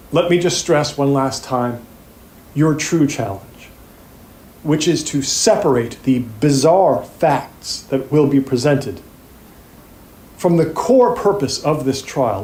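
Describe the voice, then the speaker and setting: A man acting as a grave plaintiffs' lawyer, giving a measured, formal opening statement to a jury in an audio drama. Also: fairly high pitch, a little mellow. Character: sombre male plaintiffs' trial lawyer addressing a jury. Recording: echoey room.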